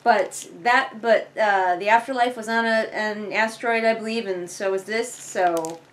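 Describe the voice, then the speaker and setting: A woman's voice: medium pitch, unhurried. A young woman giving casual, rambling, sarcastic commentary and swearing offhand, recorded indoors a few feet from the camera. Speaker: book reviewer reading along. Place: living room couch, indoors. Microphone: camera a few feet away. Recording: noisy living room camera audio.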